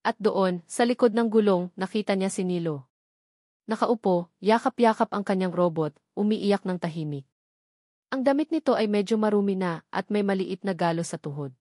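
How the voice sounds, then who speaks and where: A woman's voice: medium pitch, normal speed, mellow, dry recording. Woman narrating drama, dry sound. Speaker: audiobook narrator. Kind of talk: scripted dramatic narration of a romance story.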